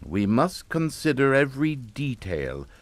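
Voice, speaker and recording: A cold, commanding English father in a radio thriller. He speaks slowly and deliberately in clipped phrases, with pitch that swings widely. The sound is dry and close. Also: big pitch swings, no echo. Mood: stern, calculating. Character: stern, controlling English father, cold and calculating. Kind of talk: radio suspense drama acting.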